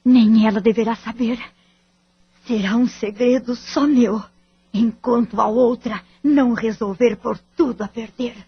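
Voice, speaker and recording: A woman's voice: a little high, fairly even intonation, measured pace, slightly rough, dry recording, highs cut off, heavily acted. An actress playing a betrayed wife and worried mother in an audio drama, bitter yet protective. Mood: bitter, anguished.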